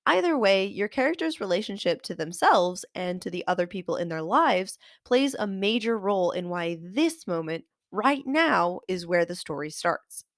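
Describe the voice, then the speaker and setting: A woman hosting a podcast lesson on fiction writing, her voice nasal and a little congested from a cold. She explains in a clear, measured, scripted way at a middle pitch. The recording is close and dry, with no room sound. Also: expressive, no room sound. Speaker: podcast host and author.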